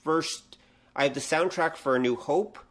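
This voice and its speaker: A man's voice: a little high, moderate pace, a bit bright. Middle-aged man chatting casually. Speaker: podcast host. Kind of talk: casual conversation.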